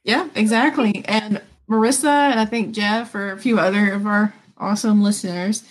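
A woman with a Southern US accent co-hosting a podcast, playful and quick, dropping in silly sounds. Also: mid-range voice, steady tone, rough recording. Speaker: podcast co-host. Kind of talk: casual podcast chat.

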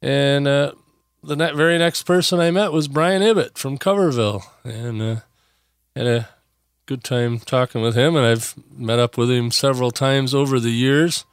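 A man hosting a podcast in a casual solo chat, a bit hoarse from a voice that is still coming back, rambling with lots of pauses and restarts. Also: medium pitch, dry recording, clean studio sound. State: hoarse, recovering from lost voice. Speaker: podcast host.

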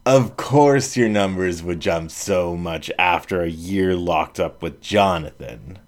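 A wry, reassuring woman friend, read by a male narrator in his own low voice, slow and easygoing. She sounds dry and knowing rather than sweet, and she comes from a storybook reading. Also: lively intonation.

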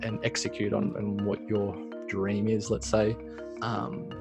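A man's voice: lowish voice, completely flat delivery, measured pace, vocal fry, over music. A man in his thirties, a small business owner, talking reflectively in a casual interview with many fillers. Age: 30s.

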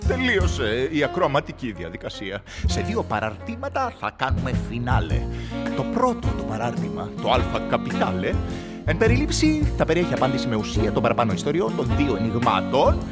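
A man's voice: mid-range voice, wildly swooping pitch. Wry man reading aloud over music. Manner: wry, exasperated asides. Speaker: reader of a play's solutions. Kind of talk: live reading to an audience. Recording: music playing behind.

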